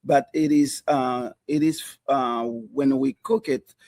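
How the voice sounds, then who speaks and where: A man from South Africa, a chef and restaurant owner, talking casually in a TV interview, halting with many fillers and restarts. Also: medium pitch, big pitch swings, vocal fry, a little mellow, no echo.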